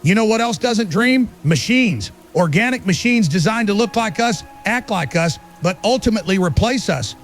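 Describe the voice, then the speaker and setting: An actor playing an agitated, paranoid conspiracy broadcaster, delivering an urgent, insistent monologue full of rhetorical emphasis in an audio drama. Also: male voice, high-pitched, lively intonation, moderate pace, hardly any pauses. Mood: ominous, insistent. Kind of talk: ranting conspiracy talk show monologue. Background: music.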